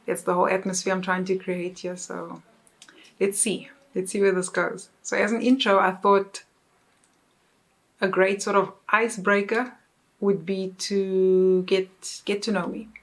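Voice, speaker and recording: A woman's voice: mid-range voice, little pitch movement, unhurried. A woman in her thirties from South Africa with a South African accent, chatting casually to camera in an upbeat, self-correcting way. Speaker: YouTuber. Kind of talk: casual vlog chat.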